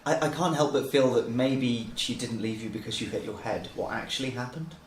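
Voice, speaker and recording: A man's voice: mid-range voice, fairly quick. A man playing a tabletop game, making casual, dryly teasing remarks. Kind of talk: casual banter. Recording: echoey room, faint noise.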